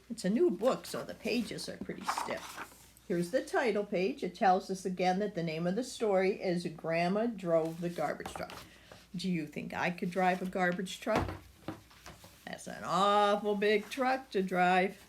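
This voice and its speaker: An older woman reading a picture book aloud to children, expressive and speaking directly to her young listeners. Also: mid-range voice, unhurried, a little hiss or hum.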